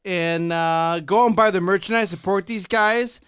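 Chicago-accented male podcast host, high smooth voice, loose and chatty. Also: moderate pace, a bit bright, no room sound, studio quality. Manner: laid-back, repeats himself, laughs often. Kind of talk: casual interview chat.

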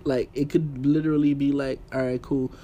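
Guy just chatting, slangy and informal, mid pitch, bit of room noise behind him. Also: level tone, moderate pace, mellow. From Haitian background. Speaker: podcast guest. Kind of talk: casual chat about fashion. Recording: clear background room noise.

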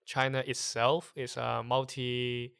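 A man from Hong Kong whose first language is Cantonese, speaking English with a Hong Kong accent as a guest, explaining things haltingly while searching for words. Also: mid-range voice, flat delivery, vocal fry, a bit bright, smooth. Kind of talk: casual conversation.

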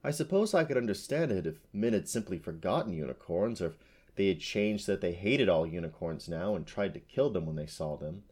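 A man's voice: low voice, animated, brisk, a little mellow, slightly rough. A man voicing a proud, ancient female unicorn from a storybook, haughty and formal in manner. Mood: puzzled wonder.

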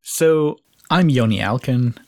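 A man in his forties from Israel, hosting a podcast and speaking earnestly, his pitch jumping up on key words. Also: slow. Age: 40s. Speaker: podcast host. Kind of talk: podcast introduction.

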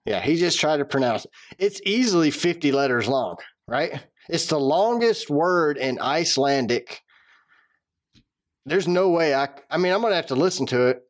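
A man's voice: a little high, animated, moderate pace. A man with a Southern accent co-hosting a podcast, bantering in a dry, self-deprecating way. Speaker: podcast co-host. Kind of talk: casual chat.

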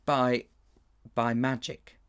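A man's voice: little pitch movement, very slow, a bit bright, clear, resonant tone. A man voicing a guilty, frightened young boy who stammers in a raised voice. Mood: anxious. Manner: anxious, stammering, raised pitch.

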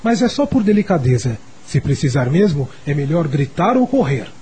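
A lonely, sickly Brazilian boy in a radio drama. He confides in a childlike way. The voice is very rough and husky, raised in pitch and rather dark. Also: very animated, normal speed, heavily acted. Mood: explaining, polite.

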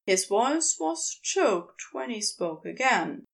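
A woman's voice: a little high, big pitch swings, slow pace, creaky. A woman narrating scripted fiction as a storyteller.

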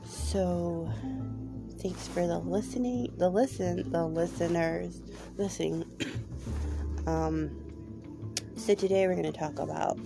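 Slow, gravelly woman hosting a podcast. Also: fairly low pitch, music in the background. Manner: hesitant, lots of ums, candid. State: voice a little off after a cold. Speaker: podcast host. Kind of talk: personal podcast monologue.

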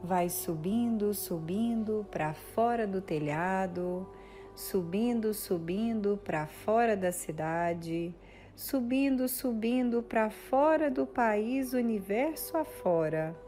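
A Brazilian woman leading a guided meditation in a soothing, instructive manner with deliberate pauses. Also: mid-range voice, expressive, mellow. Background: music.

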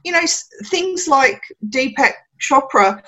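An Australian woman chatting as a podcast guest, laughing often and filling pauses with ums. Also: high voice, lively intonation, a bit bright, poor audio. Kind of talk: casual podcast interview.

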